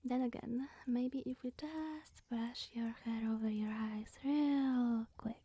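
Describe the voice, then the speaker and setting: A woman playing a flustered, eccentric young witch groundskeeper in an ASMR roleplay, rambling and awkwardly apologetic in a soft, breathy voice. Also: on the high side, steady tone, slow, dry recording. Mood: teasing, playful.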